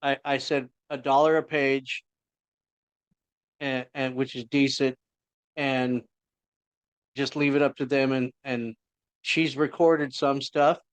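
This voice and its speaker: A man's voice: medium pitch, level tone, slow, long pauses, clean tone, dry recording. A middle-aged man, an indie author, chatting casually on a podcast in a rambling, self-interrupting way.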